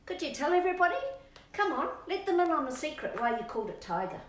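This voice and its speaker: Australian woman, animated intonation, playful children's storytime chat, coaxing sing-song questions, echoey home room. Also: high voice, quick pace. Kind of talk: chatty children's storytime, talking to kids and her dog. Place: indoors at home, on a couch. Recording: roomy echo, distant microphone.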